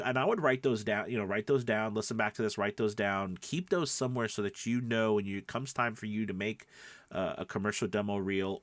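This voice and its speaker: A man with a fairly low voice teaches in a casual, conversational way, like a podcast host coaching beginners. He leans on key points and often checks that the listener is following. The sound is dry, with very little room on it. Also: no room sound. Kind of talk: casual instructional talk.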